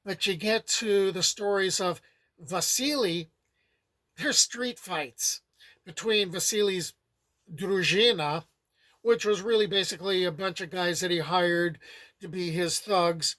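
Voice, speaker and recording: A man with a fairly high voice gives a loose, conversational talk on old folk tales. He wanders into asides as he explains. The sound is close and dry, with no room around it. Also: measured pace, bright, forceful tone, no echo. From United States. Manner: rambling and conversational, with many asides. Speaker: folklore channel host. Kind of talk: casual lecture on folklore.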